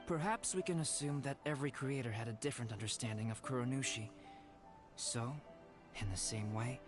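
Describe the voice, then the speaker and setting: A man playing a calm, scholarly young artist in a video game, explaining things politely in a measured way. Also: mid-range voice, natural voice.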